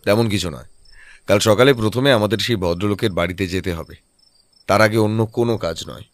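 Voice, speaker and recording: An actor playing a composed, analytical private detective in an audio drama, reasoning aloud in a measured way. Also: male voice, on the low side, no echo, natural voice. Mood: evasive.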